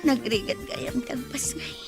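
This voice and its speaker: A woman's voice: fairly high pitch, measured pace, over loud music, close to an everyday voice. An actress playing an anguished young mother in an Ilocano radio drama, pleading and tearful, with an Ilocano accent. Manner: pleading, tearful, breaks into whispers. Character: anguished young mother who has just given birth.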